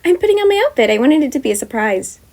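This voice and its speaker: A woman voicing a cheerful young deer-elf wizard girl who runs an academy, talking brightly and eagerly. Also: sits high, big pitch swings, racing, vocal fry, pitched above the performer's own voice, exaggerated voice.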